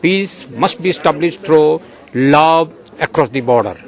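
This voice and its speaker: An older man from Bangladesh answers interview questions outdoors with slow, earnest conviction. His voice sits a little high for a man, and he lingers on words as if preaching. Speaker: agricultural journalist, guest.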